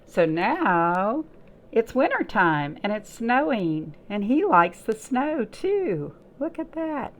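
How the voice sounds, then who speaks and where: A woman's voice: on the high side, big pitch swings, slow. A woman leading a library storytime, chatting encouragingly to young children and asking them simple questions as she reads aloud.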